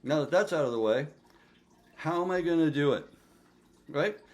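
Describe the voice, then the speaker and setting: A man's voice: on the high side, expressive, normal speed. A man of sixty vlogging to camera, blunt and profane, often checking in with "okay?", his voice picked up by the camera mic at home. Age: 60s. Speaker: fitness vlogger. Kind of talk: casual vlog to camera.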